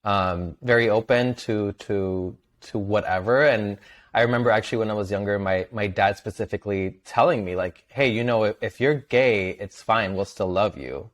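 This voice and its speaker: A man whose first language is Spanish speaks in a fairly low, soft voice. He talks casually as a podcast guest, often slipping small laughs between phrases. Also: normal speed. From Venezuela. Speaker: guest, content creator. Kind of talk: casual interview conversation.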